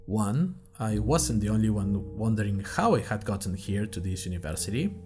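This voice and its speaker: A man playing a bemused university student who narrates a first-person audio diary in a dry, musing, deliberate way. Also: on the low side, big pitch swings, music in the background. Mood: bemused, uneasy. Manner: dry, wry, musing in short deliberate phrases. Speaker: narrator of a fiction podcast.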